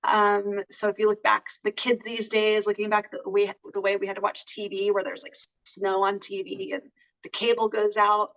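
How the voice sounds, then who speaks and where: A woman co-hosts a casual movie review chat over a remote call. She speaks hesitantly and fills her pauses with "um" and "like". Her voice sits at an ordinary pitch and sounds set back from the microphone. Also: little pitch movement, creaky, a bit bright. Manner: hesitant, many fillers. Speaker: co-host. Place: in California. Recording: voice distant from the mic.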